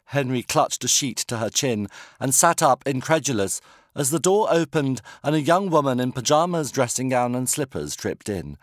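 A British man reading a comic novel as an audiobook narrator, measured and wry. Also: medium pitch, sing-song, no room sound, clean studio sound. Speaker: author reading own novel.

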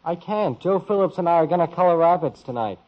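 An American actor playing a wisecracking, grumbling teenage son in a 1950s radio sitcom. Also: male voice, a little high, expressive, a little fast, a little hiss or hum, lightly acted. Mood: protesting. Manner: grumbling, cheeky. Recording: dull old radio recording.

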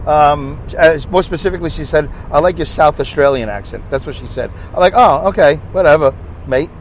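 A man's voice: on the high side, brisk. A man with a Brooklyn accent hosting a rambling solo podcast while driving, chatty and self-mocking, asking himself rhetorical questions. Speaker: podcast host. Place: inside a car while driving on a highway. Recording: faint road noise in a car. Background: road and car noise.

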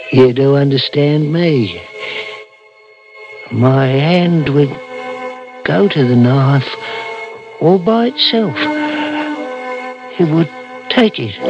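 An actor playing a troubled, defensive murder suspect in a 1960s radio drama, hesitant and haunted. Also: male voice, medium pitch. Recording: dull vintage radio broadcast, music underneath.

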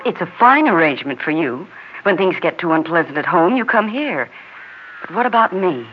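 An American woman acting in 1960s radio drama as a married man's lonely, wounded but clear-eyed mistress. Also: fairly low pitch, big pitch swings, normal speed, soft background music. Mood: hurt, resigned. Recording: muffled 1960s radio recording, faint noise.

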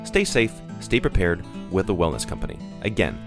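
A man reading a scripted infomercial sales pitch as an advertising announcer. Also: very animated, brisk. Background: plucked guitar music.

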